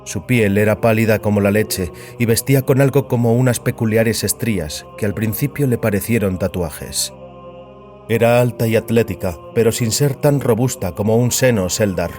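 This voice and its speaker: A man's voice: on the low side, moderate pace, darkish tone. A man giving a scripted narration of a science fiction audiobook. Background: music.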